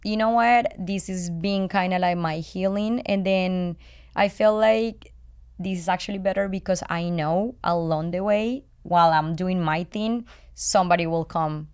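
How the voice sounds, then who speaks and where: A woman with a low, clean and very smooth voice and a Colombian accent. She is a native Spanish speaker. She talks in a candid, casual chat style, repeating phrases to press her point. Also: measured pace, darkish tone.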